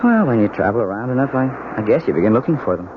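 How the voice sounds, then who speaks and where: Glib, evasive newlywed husband, played by a male actor, very animated delivery, 1970s radio drama, dull lo-fi sound. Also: medium pitch, rapid-fire, noisy background, lightly acted. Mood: breezy, evasive. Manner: glib, jocular. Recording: dull old radio, music behind.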